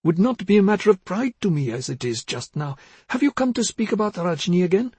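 A man's voice: sits high, very animated, brisk, a little mellow, slightly rough, no echo, pitched above the performer's own voice, exaggerated voice. A man voicing a frail, confused elderly mother who rambles apologetically and fretfully in a story. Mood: confused, wandering. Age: older.

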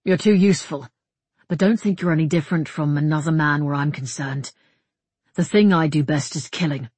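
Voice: medium pitch, normal speed, a little mellow, slightly rough, no echo, close to an everyday voice. A narrator playing a proud, dutiful warrior, a man who speaks bluntly and warily in an audiobook. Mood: curt, defiant. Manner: curt, defensive, blunt. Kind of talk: audiobook character dialogue.

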